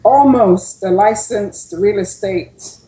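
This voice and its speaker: Female radio regular, very relaxed tone, slow casual delivery, recorded in an echoey space. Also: medium pitch. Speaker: radio co-CEO and show regular. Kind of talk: casual self-introduction on talk show. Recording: echoey room on online call.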